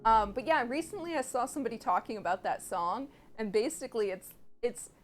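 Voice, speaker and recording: A playful, chatty woman rambles cheerfully while she cooks in her home kitchen. Her voice sits a touch high, and faint music plays in the background. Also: a little fast. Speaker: co-host of a cooking stream. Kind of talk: casual chat while cooking.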